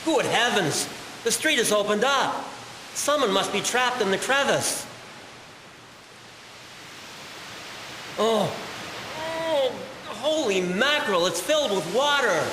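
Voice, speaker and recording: A male actor plays a kindly passer-by turned rescuer in an audio drama, urgent yet reassuring. His voice is high for a man, with long reverb and clear hiss around it. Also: lively intonation, a little slow. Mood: alarmed.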